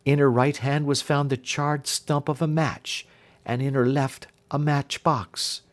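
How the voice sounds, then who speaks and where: A man voicing an earnest, distressed young gentlewoman in a storybook reading, with formal Victorian phrasing. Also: medium pitch, level tone, measured pace, close to an everyday voice. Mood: earnest, troubled. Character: Helen, distressed young gentlewoman recounting her sister's death.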